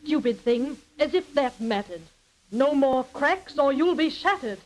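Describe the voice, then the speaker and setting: A woman's voice: sits high, expressive, a little slow, darkish tone, poor audio. An actress playing a vain, haughty wicked queen, reciting theatrically in rhyme in an old radio skit. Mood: indignant. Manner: theatrical rhyming delivery, imperious. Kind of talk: 1930s radio acting in an ad skit.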